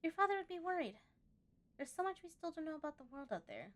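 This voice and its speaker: A woman's voice: very high voice, sing-song, fast talker, voice pushed up. A woman voicing a strong, firm farmer mother talking to her child in a visual novel game. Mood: stern.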